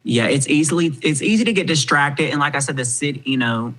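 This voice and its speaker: A man in his twenties, a podcast guest talking casually, frank and animated, swearing freely. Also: a little high, brisk, poor audio. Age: 20s.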